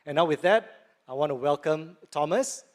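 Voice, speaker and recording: Founder-speaker, man, slightly high voice, eager and fast-talking, introduces the next speaker. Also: lively intonation. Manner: enthusiastic, fast, leans on "right?" and "you know". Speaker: startup founder and event speaker. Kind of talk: conference speech. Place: large conference hall.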